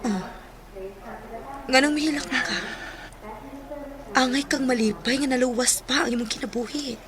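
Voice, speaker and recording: A woman's voice: high-pitched, moderate pace, a bit bright. An actress with a Cebuano Filipino accent playing a caring, devout woman in a radio drama, speaking consolingly. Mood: concerned, consoling. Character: caring, devout woman comforting a wounded man. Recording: noisy radio drama with ambience.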